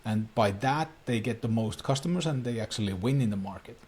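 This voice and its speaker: A man's voice: lowish voice, animated, brisk, a little mellow, slightly rough. A man from Finland, a native Finnish speaker, coaching viewers on online marketing in an earnest talking-head explainer with plain, slightly non-native phrasing.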